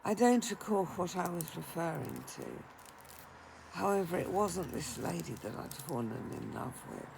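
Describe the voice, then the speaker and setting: An older woman with a croaky, husky voice who sounds tired. She talks in a casual vlog, slowly and hesitantly, and takes deep breaths before she speaks. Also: sing-song, background noise. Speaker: vlogger.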